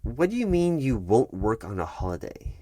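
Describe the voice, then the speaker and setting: A man's voice: medium pitch, sing-song, normal speed, mellow, no echo. A man playing a patronizing, bureaucratic HR manager in a one-sided ASMR roleplay, patiently insistent and repeating himself. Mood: incredulous, firm.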